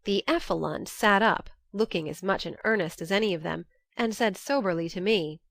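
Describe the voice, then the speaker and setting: A woman's voice: mid-range voice, normal speed, vocal fry, fairly bright tone, dry recording. A woman narrating an audiobook, reading a classic novel's first-person letters as scripted narration.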